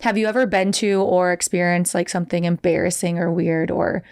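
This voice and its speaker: A woman hosting a podcast, chatting casually, bubbly and encouraging, quick to laugh. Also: lowish voice, flat delivery, a little mellow. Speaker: podcast host.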